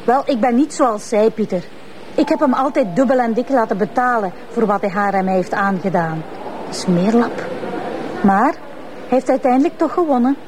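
A woman's voice: fairly high pitch, animated, moderate pace, a little mellow, slight background noise, lightly acted, rough recording. A woman playing a scornful, defiant young woman.

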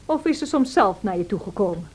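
A woman's voice: mid-range voice, expressive, slightly rough. A woman playing a tempestuous, self-pitying young married woman in a radio drama, theatrical and emotional. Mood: reproachful, tense. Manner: theatrical, emotional, slow and deliberate. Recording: noisy old radio drama recording.

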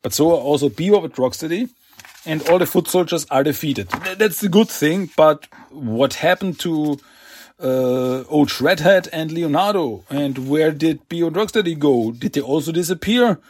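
A husky, slightly high-voiced man with a faint French accent recaps comics in a loose, chatty way, full of fillers and restarts and little acted-out quotes. The sound is dry and close, with no room around it. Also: expressive, moderate pace, no room sound. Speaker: podcast host.

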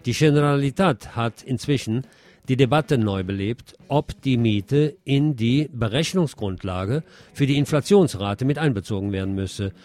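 A man reads the news as a radio presenter at a middling pitch. His delivery is scripted, with dry, wry asides worked into the reporting. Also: animated, normal speed, darkish tone.

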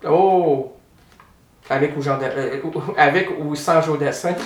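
Man, radio host, playful, chatty, higher voice, far from the mic, roomy echo. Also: a little hiss or hum. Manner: chatty, playful, quick to laugh. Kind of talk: casual radio interview.

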